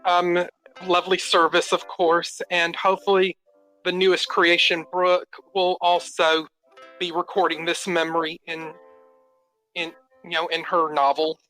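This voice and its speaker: A man calling in to a show, speaking casually in a halting, wordy way. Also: sits high, completely flat delivery, bright, forceful tone, faint music behind, poor audio. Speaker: caller. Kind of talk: casual call-in remarks.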